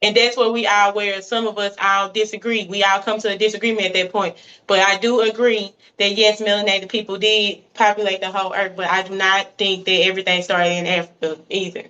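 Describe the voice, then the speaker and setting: A speaker with a bright, sharp voice explains their point in a calm, slangy way. The audio is muffled and lo-fi. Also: mid-range voice, brisk. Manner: laid-back explaining, slangy. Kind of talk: casual live-stream chat.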